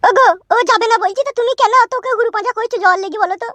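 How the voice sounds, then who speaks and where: A woman voicing a brazen, argumentative young cartoon wife with a rural West Bengal Bengali accent and a sharp tongue. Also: very high-pitched, racing, no room sound. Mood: indignant. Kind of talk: comic cartoon dubbing.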